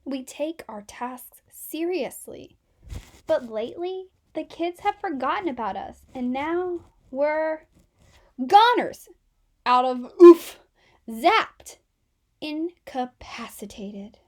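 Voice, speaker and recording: Casting: woman as whimpering, self-important alphabet letter for a storybook, high-pitched, swooping melodrama. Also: animated, slow, a little mellow. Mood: despairing. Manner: dramatic, plaintive. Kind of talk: children's storybook read-aloud.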